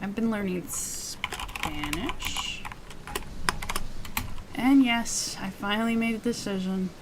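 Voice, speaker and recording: A woman streamer talking in a quiet, soft voice during a chatty ASMR stream, rambling and often breaking off mid-thought. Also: mid-range voice, slow pace. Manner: quiet voice, rambling and self-interrupting. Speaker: VTuber streamer. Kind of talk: soft-spoken ASMR chat. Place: home streaming setup with storm ambience. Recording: faint background noise of storm sounds and typing.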